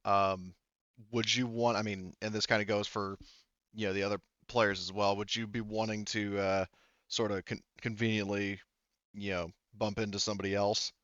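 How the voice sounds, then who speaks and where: A man's voice: lowish voice, level tone, moderate pace, fairly bright tone, no echo, dull audio. A man acting as game master in a tabletop roleplaying game, improvising descriptions and casual questions in a hesitant, conversational way.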